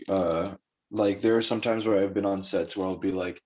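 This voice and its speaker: A man with a fairly low-pitched voice in a casual group discussion. He speaks as a filmmaker in an informal, tentative way, playing down his own importance as he works through his opinion. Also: steady tone, moderate pace, darkish tone.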